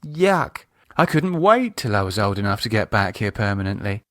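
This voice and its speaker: A man's voice: on the low side, sing-song, normal speed, no room sound. Guy doing an english woman, confident teasing sister type, audiobook, dry close sound. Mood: breezy, nostalgic. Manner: breezy, teasing. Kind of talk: audiobook character acting.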